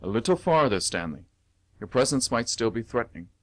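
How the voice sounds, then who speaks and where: A solemn monk warrior acted by a man speaks with calm command. His phrasing is stately and emphatic, in a mid-pitched heroic radio play voice. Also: a little fast, natural voice.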